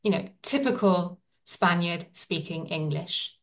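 Woman, casual, full of ums and restarts, lowish voice, a bit far off the mic. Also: lively intonation, a little slow. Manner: thoughtful, many fillers and restarts. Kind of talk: casual conversation.

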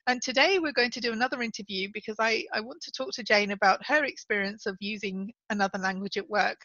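Woman, podcast host, fairly high voice, clear explanatory manner, dry close mic. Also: sharp tone, dry recording, dull audio. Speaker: podcast host and English teacher. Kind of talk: podcast interview.

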